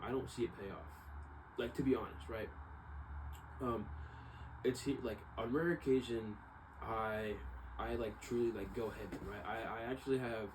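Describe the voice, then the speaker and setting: Rambling young man, distant noisy recording. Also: lowish voice. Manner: rambling, repeats himself, frequent slang and tag "right?". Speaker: vlogger. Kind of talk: casual rambling monologue to camera. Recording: distant mic, clearly noisy background.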